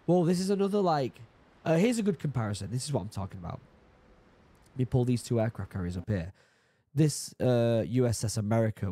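A man in his thirties from the UK, a bit hoarse from being sick, chatting casually as he reacts to a video and reads labels aloud, excited now and then. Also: mid-range voice. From United Kingdom. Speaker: YouTube reaction host. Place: home study with bookshelves. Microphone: studio mic on boom arm, headphones.

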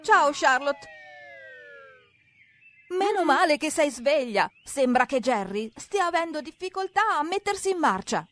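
High, animated woman narrating a children's cartoon. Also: measured pace. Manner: expressive, exclamatory, talks directly to the characters. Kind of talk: scripted animated narration for small children, addressing the characters. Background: background music.

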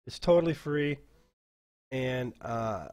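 Guy doing an off the cuff software tutorial, rambly, kinda halting, average pitched voice. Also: expressive. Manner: rambling, halting, thinking aloud. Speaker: dungeon master teaching a software class. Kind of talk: casual screen-share tutorial, off the cuff.

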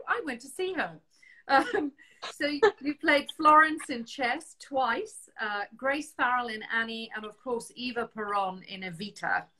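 Bright-voiced chatty South African woman host. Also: fairly high pitch, slight background noise, low-quality recording. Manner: chatty, laughs often. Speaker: interview show host. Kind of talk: casual video-call interview. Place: home room with shelves. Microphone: video call webcam.

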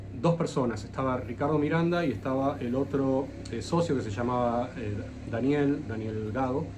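A man's voice: medium pitch, a little mellow, slight background noise. A man with an Argentine accent, a luthier guest on a video call, telling long stories with lots of hesitant "eh" fillers. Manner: hesitant, frequent "eh" fillers, rambling anecdotes. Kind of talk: casual interview storytelling.

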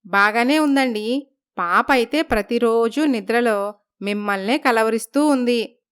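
A woman's voice: on the high side, unhurried, fairly bright tone, smooth. A woman voicing a worried young wife who reproaches her husband in a storybook tale. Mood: reproachful. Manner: reproachful, pleading. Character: wronged young first wife, anxious.

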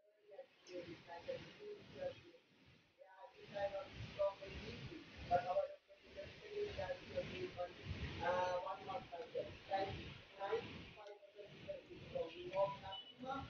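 Man guiding in halting English, distant. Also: very high voice, wildly swooping pitch, muffled recording, noisy background. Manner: halting non-native English with many fillers. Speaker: tour guide at a turtle hatchery. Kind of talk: guided tour explanation. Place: open-air turtle hatchery by the tanks. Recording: distant, roomy, music laid over.

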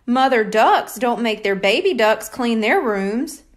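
A woman voicing an eager, scheming six-year-old boy with a pleading, excitable manner in a children's storybook. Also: on the high side, measured pace. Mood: stubborn, scheming.

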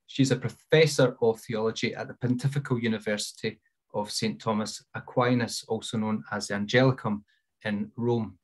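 A man's voice: fairly low pitch, steady tone, moderate pace. A man in his thirties moderating an online event, reading out practical notes and a speaker introduction. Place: indoors, on a video call. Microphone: wired earphone mic on a video call.

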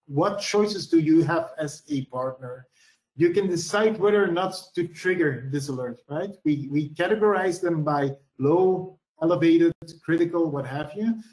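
Male presenter talking through a screen-share demo, slightly high voice, roomy echo. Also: darkish tone. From Belgium. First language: Dutch. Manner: explanatory, frequent "right?" tags and "uh" fillers. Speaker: security product presenter.

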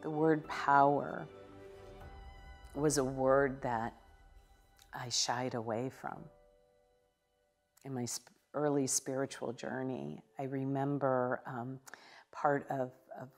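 A woman minister giving a contemplative devotional teaching in a living room, with measured delivery and occasional hesitations. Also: extremely low pitch, fairly even intonation, faint music behind. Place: living room with a sofa, indoors.

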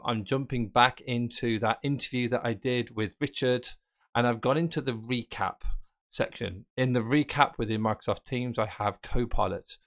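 Casual man demoing software on screen. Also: mid-range voice. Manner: casual, explanatory, thinking aloud. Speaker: tech presenter. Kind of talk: screen-recorded software tutorial.

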